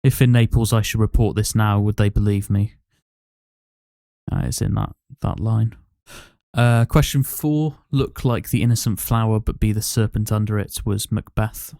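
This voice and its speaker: A man's voice: fairly low pitch, fairly even intonation, normal speed, vocal fry, mellow, no echo, clean studio sound. A man hosting a casual podcast quiz, reading out questions and answers. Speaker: podcast host and quizmaster.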